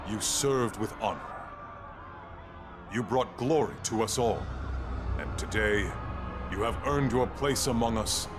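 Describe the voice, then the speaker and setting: Male actor as proud Spartan elder, low voice, very slow, ceremonious, game cutscene, music behind. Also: natural voice. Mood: solemn, approving. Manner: measured, ceremonious. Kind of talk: video game dramatic acting.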